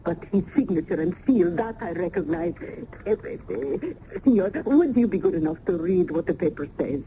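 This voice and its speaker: A woman's voice: on the low side, lively intonation, moderate pace, hardly any pauses, mellow, natural voice. An actress playing an effusive, motherly old Italian nurse turned landlady in a radio drama, with an Italian accent. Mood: kindly, fussing. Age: older. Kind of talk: 1970s radio drama acting. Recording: muffled, noisy old radio broadcast.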